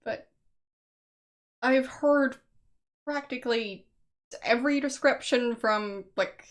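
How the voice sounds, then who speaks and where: Slow-talking Austrian woman streamer. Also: fairly high pitch. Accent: Austrian German. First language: Austrian German. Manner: chatty, laughs often, answers chat guesses. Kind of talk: casual chat with stream viewers.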